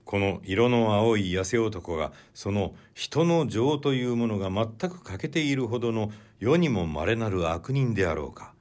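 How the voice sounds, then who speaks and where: Low-voiced man narrating literary fiction. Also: lively intonation, a little mellow. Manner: measured, deliberate delivery. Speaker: audiobook narrator.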